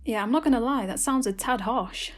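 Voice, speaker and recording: A woman playing a calm, wry, gently condescending auntie detective in a comic murder-mystery drama. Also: a little high, brisk, close to an everyday voice. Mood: casual, bossy.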